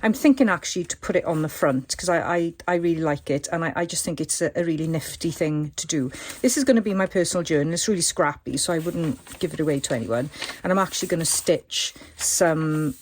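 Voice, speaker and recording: A woman with a fairly low, slightly croaky voice talks through a craft project in a relaxed, chatty way, thinking aloud as she goes. Soft clicks from her materials and a faint hiss sit behind her. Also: fairly quick. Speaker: crafting tutorial host. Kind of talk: casual craft demonstration.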